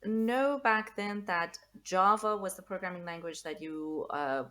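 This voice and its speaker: A woman with a somewhat low voice hosts a casual interview. She asks questions at length and backs the guest up with frequent short affirmations. She speaks into a boom microphone on a video call. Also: unhurried, fairly bright tone. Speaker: podcast host, developer advocate. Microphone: studio microphone on boom.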